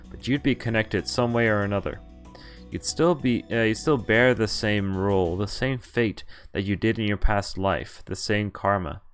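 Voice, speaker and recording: A man streaming a visual novel, reading the game text aloud in a half-acted way and breaking off for wry, casual asides. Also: lowish voice.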